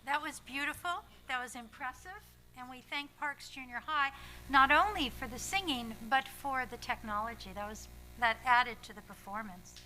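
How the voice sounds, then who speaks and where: A woman chairing a school board meeting, speaking in a measured, pausing way with small restarts. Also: high-pitched, bright, forceful tone, poor audio. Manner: measured, pausing, slightly halting. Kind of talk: formal meeting remarks.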